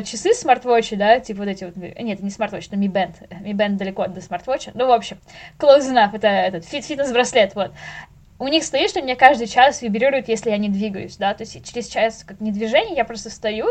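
A young woman chatting casually as a podcast guest, telling things in slangy, animated speech with the odd English word. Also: on the high side. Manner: animated storyteller, acts out little inner dialogues; slangy, drops in English words.

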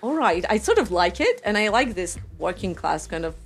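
A woman with a mid-range voice interviews a guest, asking probing questions in halting. Faint music sits behind her. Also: medium pitch, sing-song, breathy edge. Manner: probing, hesitant phrasing. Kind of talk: casual interview.

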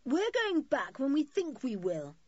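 A woman's voice: mid-range voice, big pitch swings, moderate pace, darkish tone, breathy edge, no echo, highs cut off. A woman voicing a bold, defiant schoolboy who leads a group of children in a storybook, forthright and indignant.

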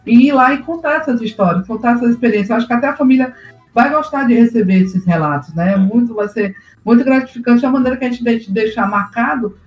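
A man's voice: extremely high pitch, fast talker, distant mic, highs cut off, faint music behind. A man co-hosting a casual chat, talking hesitantly with many fillers, on echoey remote audio. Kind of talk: casual conversation on a live stream.

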